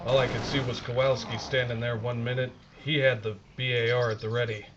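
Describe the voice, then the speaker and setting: An actor playing a shaken but steadfast American army sergeant in a war horror audio drama, earnest and terse. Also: male voice, medium pitch, level tone, brisk, fairly bright tone, natural voice. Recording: muffled lo-fi audio with noise.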